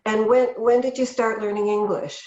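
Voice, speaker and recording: A woman's voice: fairly high pitch, fairly even intonation, moderate pace, a little mellow, reverberant, muffled recording. A woman hosting a podcast, asking curious conversational questions. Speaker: podcast host. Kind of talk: casual interview questions.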